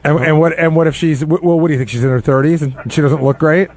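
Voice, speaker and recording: A man's voice: on the high side, steady tone, fast, darkish tone. A man in his late forties chatting frankly on a radio panel, probing and opinionated. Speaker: radio show panel host. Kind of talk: casual panel chat.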